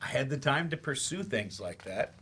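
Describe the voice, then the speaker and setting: A man playing a weary, regretful novelist turned teacher in a staged play reading, halting, self-deprecating and confessional. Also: mid-range voice, lively intonation, sharp tone, slight background noise. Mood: wistful, reminiscing. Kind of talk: staged dramatic monologue.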